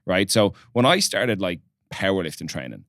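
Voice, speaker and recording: A man's voice: sits low, sing-song, moderate pace, fairly bright tone, no room sound. A young man hosting a casual podcast interview, blunt and swearing freely as he talks. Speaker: podcast host.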